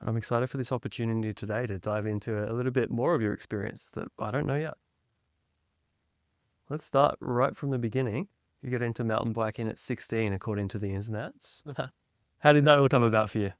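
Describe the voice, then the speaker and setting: A man's voice: mid-range voice, fairly quick, dry recording, studio quality. A man from Australia, hosting a podcast, asking questions in a friendly, upbeat way. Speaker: podcast host. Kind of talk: casual interview hosting.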